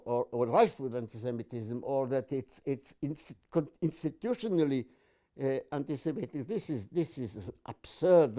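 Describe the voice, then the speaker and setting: An elderly man in his eighties, from Palestine, gives personal testimony in a mellow, fairly high voice. He is emphatic, often stumbling and restarting as he builds to his point. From born and raised in Palestine. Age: 80s.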